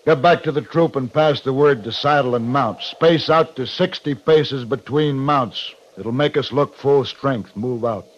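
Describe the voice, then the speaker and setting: An actor playing a stern, shrewd cavalry captain in a 1950s radio western, with a clipped, authoritative manner. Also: male voice, mid-range voice, measured pace, few pauses, close to an everyday voice. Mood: firm, calculating. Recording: dull, noisy 1950s radio recording.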